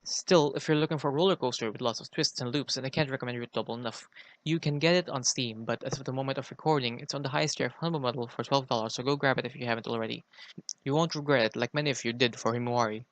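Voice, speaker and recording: A man's voice: mid-range voice, fast talker, low-quality recording. A man delivering a scripted review as a guest segment on a podcast.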